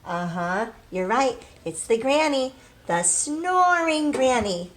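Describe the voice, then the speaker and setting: A woman teaching young children in a read-aloud lesson, asking questions, pausing and drawing out key words for emphasis. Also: fairly high pitch, lively intonation, noisy background. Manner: prompts with questions, pauses, affirms answers, drawn-out emphasis on key words. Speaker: early literacy teacher.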